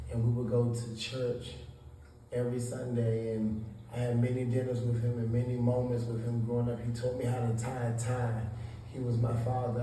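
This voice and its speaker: A man's voice: medium pitch, mellow, distant mic. A man from Southeast Washington, D.C. answering interview questions earnestly, often restarting his words as he searches for them. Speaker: interview guest. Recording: echoey room, voice a bit off-mic, faint noise.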